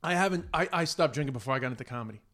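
A man in his forties from Ireland, hosting a casual podcast interview in a relaxed, dry manner. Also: mid-range voice, rapid-fire. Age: 40s. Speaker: podcast host and comedian.

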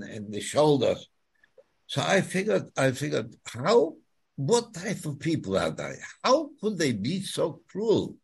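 Elderly Polish-accented man, halting delivery. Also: fairly high pitch, vocal fry, breathy edge. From Poland. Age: 90s. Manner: halting storyteller, often repeats words and phrases. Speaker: guest, Holocaust survivor telling his story. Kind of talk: personal testimony in an interview.

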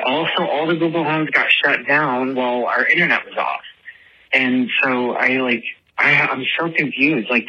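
A man's voice: medium pitch, pushed and bright, husky. A man leaving a casual voice message for a show, telling a story in a chatty, dramatic, self-deprecating, motormouth way on a phone-like recording. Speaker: listener calling in with a voice message.

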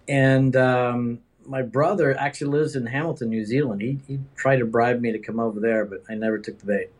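A man from the Netherlands answering interview questions as a doctor, conversational, with hesitant, halting phrasing. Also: mid-range voice, steady tone. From Netherlands, raised in several countries. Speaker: interview guest, regenerative medicine doctor.

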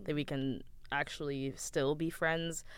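A young woman from Ethiopia in a casual podcast chat, talking in a measured way with the odd "um". Her voice is low and strikingly clear and resonant. It is recorded close and dry. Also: fairly even intonation, dry recording. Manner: measured, with small hesitations and "um".

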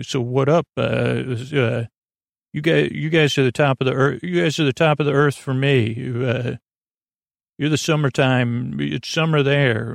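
A man with a creaky voice hosting a bedtime podcast, meandering and stumbling over words in a soothing, low-key improvised monologue. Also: dry recording, clean studio sound.

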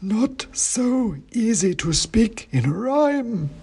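A man voicing an ancient king's severed head that speaks in slow, solemn rhymes with a dusty, faint voice. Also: extremely high pitch, big pitch swings, vocal fry, darkish tone, slightly rough, voice pushed up, full character voice. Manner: slow, drawn-out rhyming pronouncements.

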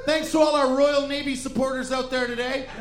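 A man's voice: very high voice, little pitch movement, a little fast, noisy background. Joking man on a roomy live stage. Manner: joking, playful, riffs off his partner. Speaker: co-host of a live comedy podcast. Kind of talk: live comedy podcast banter. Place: stage before a live audience. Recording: roomy live recording with audience.

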